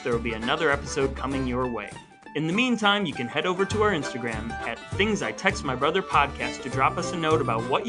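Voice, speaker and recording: A man co-hosting a casual podcast with his brother, telling stories wryly and reading the outro. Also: on the high side. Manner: wry, playful storytelling, reads outros. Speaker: podcast co-host. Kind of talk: casual chat with scripted segments.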